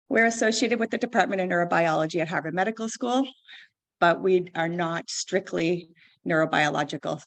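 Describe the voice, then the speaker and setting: A woman directing a lab core facility, giving a conference talk in a loud voice with frequent fillers. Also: fairly low pitch, creaky. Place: conference hall.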